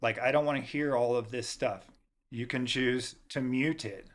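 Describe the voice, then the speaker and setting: Guy doing a casual how-to, medium-pitched voice, talks you thru it step by step. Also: normal speed. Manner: informal, step-by-step. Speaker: tutorial host. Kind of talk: casual software tutorial narration.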